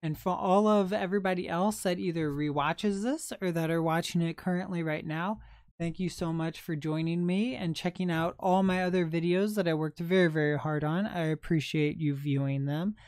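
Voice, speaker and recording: A young man hosting a late-night livestream, chatting in a soft, calm, unhurried way. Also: on the high side, smooth, dry recording, clean studio sound. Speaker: livestream host.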